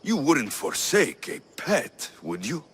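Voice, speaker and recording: An actor playing a blunt, wry mercenary hero in a video game set in ancient Greece, dry and direct. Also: male voice, medium pitch, very animated, slow, fairly bright tone, slight background noise. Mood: demanding. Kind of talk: video game character acting.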